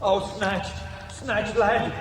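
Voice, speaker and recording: A man's voice: sits high, level tone, drawn-out words, background noise. An actor playing a dutiful, plodding, doggedly brave policeman, officious and deferential, in a radio science fiction drama. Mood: resolute. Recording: echoing space with music behind.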